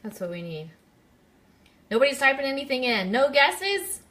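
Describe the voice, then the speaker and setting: A woman's voice: sits high, big pitch swings, moderate pace, a bit bright. A woman hosting a casual live-streamed radio quiz, chatty and speaking directly to her listeners. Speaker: radio show host.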